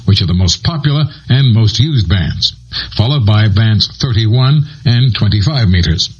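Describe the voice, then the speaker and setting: American male narrator for an educational guide: very husky, animated delivery, conversational, dull cassette sound. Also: on the low side, moderate pace. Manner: explanatory, conversational asides. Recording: dull lo-fi old cassette transfer.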